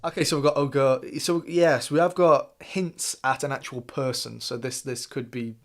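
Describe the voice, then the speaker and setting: A young man from England with a plain English accent talks through his reactions in a loose, conversational way. His voice sits at an ordinary middle pitch, and his thoughts come out in stops and restarts, peppered with "like" and "uh.". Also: steady tone, moderate pace, over loud music. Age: young adult. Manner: musing, thinks aloud with many restarts and fillers. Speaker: reaction video host. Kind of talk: casual reaction commentary.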